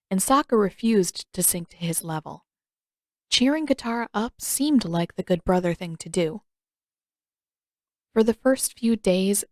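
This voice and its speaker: A woman's voice: medium pitch, a little mellow, dry recording, clean studio sound. A woman reading a scripted story expressively, with wry, emphatic phrasing. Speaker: narrator, fan fiction reader.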